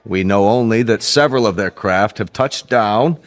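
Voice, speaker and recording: An actor playing a flustered senior diplomat in a radio drama, giving formal, halting public addresses. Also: male voice, on the low side, slight background noise, lightly acted. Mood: uneasy, halting.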